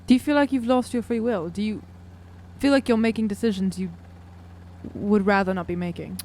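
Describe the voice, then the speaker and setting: A woman's voice: medium pitch, fairly quick, darkish tone, a little hiss or hum. A woman talking earnestly and questioningly as a player in a tabletop roleplaying game. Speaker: player in an actual-play podcast. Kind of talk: in-character tabletop roleplay chat.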